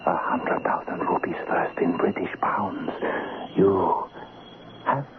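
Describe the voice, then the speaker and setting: An actor playing a ruthless, greedy Indian thief and killer in an old-time radio drama, intense, hushed and commanding. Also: male voice, sits low, lively intonation, slow. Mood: wary, bargaining. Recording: noisy, narrow phone-like old radio recording.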